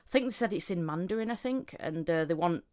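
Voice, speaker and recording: A woman's voice: on the low side, animated, fast, darkish tone. A woman hosting a podcast, chatting casually and telling anecdotes about her own work. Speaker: podcast host, voice actor.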